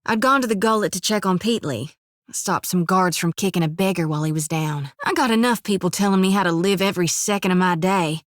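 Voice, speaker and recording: An actress voicing a folksy, earnest young priestess of a death god in a video game, with a drawl, rambling and plainspoken. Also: female voice, lowish voice, a little fast, no echo, clean studio sound, natural voice.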